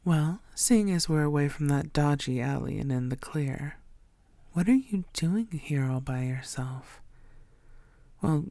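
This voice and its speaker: Woman voicing female vampire, coy and possessive, creaky voice, breathy deliberate murmur, intimate audio roleplay, very dry close sound. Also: low voice, animated, dark tone, no room sound. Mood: flirtatious, concerned.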